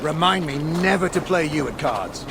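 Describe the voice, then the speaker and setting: A man's voice: a little high, expressive, normal speed, noisy background. A man playing a wry, bickering dwarf rebel in a video game, talking in a dry, teasing, grumbling manner. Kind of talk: conversational video game acting.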